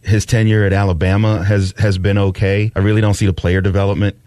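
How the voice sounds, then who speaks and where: A man's voice: lowish voice, level tone, quick pace, mellow, no room sound. A man hosting sports talk radio, giving opinionated, conversational, unscripted commentary. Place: dry radio studio.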